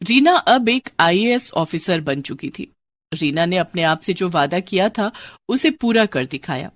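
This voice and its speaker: A woman narrating scripted moral stories in an expressive storytelling style. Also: medium pitch, no echo.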